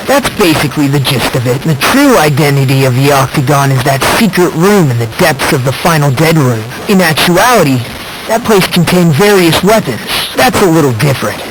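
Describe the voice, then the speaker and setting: Game role: condescending teen boy, lightish high voice, theatrical and measured, eerily courteous; clearly noisy recording. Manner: measured, theatrical, smugly polite. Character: soft-spoken, condescending, eerie teenage boy obsessed with hope. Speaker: video game character voice actor. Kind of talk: scripted game dialogue, mystery exposition.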